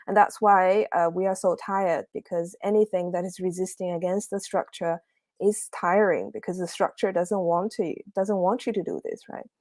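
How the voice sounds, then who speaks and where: A young woman from China gives a livestreamed academic talk over a video call. Her voice sits a little low and sounds dry and close. She speaks hesitantly, with many fillers and restarts. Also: steady tone, moderate pace, darkish tone, dry recording. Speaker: guest speaker, PhD researcher.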